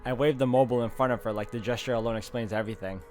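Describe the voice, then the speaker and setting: A man's voice: mid-range voice, rapid-fire, darkish tone. A man hosting a gaming video, reading story text aloud dramatically and reacting as he plays. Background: game music.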